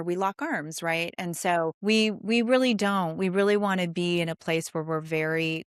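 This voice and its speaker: A woman hosts a conversational faith-based interview. Her voice sits on the low side, clean and resonant, with very little room sound. She speaks effusively and encouragingly, often repeating a phrase for emphasis. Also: clear, resonant tone, no room sound, clean studio sound. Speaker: podcast host and producer.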